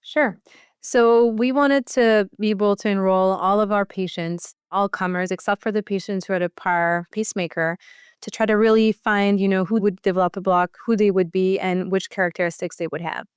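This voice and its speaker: A woman's voice: mid-range voice, fairly even intonation, normal speed, smooth, no room sound, clean studio sound. A woman answering conversationally as an expert guest in a medical interview.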